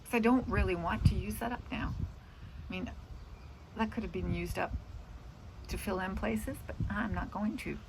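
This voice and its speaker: A woman's voice: medium pitch, normal speed, vocal fry, long pauses, background noise. A middle-aged woman in casual, rambling chat about her day, drifting off into asides. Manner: meandering, trailing off into asides. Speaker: vlogger.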